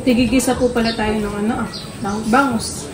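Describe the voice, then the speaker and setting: A woman's voice: on the high side, steady tone, brisk, background noise. A young woman chatting politely with her family over a meal on an open rooftop, adding respectful words and small murmurs as she eats. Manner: polite, uses respectful po, murmurs while eating. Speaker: vlogger. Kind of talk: casual family mealtime chat. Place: open rooftop terrace, outdoors. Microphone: camera at a distance across the table. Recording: roomy sound from far off, music behind.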